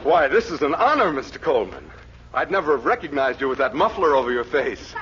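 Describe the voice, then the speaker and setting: An actor playing a vain, gullible, starstruck drama critic, self-important and fawning, in 1940s radio comedy. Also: male voice, mid-range voice, big pitch swings, fairly quick, dull audio, natural voice. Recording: noisy, crackly, band-limited 1940s radio.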